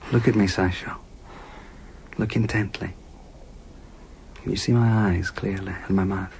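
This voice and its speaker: An actor playing a seductive wandering storyteller in a radio drama, speaking softly and earnestly. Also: male voice, deep voice, fairly even intonation, measured pace, gravelly, slight background noise. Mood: adoring. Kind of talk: intimate radio drama acting.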